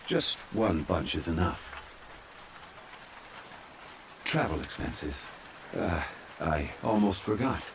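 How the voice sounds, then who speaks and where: Guy doing a calm ancient immortal scholar from a game, low voice, formal and polite, soft asmr roleplay with rain behind him. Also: slight background noise, rough recording. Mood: measured, courteous. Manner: formal, measured, courteous. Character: calm, ancient, scholarly male adeptus in human form. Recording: steady rain sound laid behind voice. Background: rain falling.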